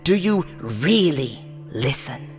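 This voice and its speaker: A woman voicing a monstrous game show host in a horror story, falsely friendly and hateful, with a taunting, showy, drawn-out delivery. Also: rumbling low, big pitch swings, a little mellow, long reverb tail, soft background music, heavily acted. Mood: taunting, menacing.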